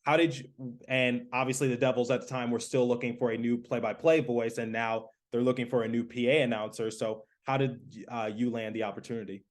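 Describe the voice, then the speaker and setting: A man's voice: medium pitch, level tone, fairly quick, few pauses. A man hosting a sports podcast, interviewing in a casual, conversational way.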